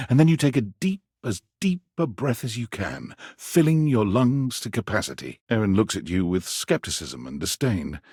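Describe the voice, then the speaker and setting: A man's voice: medium pitch, a little mellow, breathy edge, dry recording, studio quality. A man playing a dramatic storyteller, reading scripted second-person narration for a romance game.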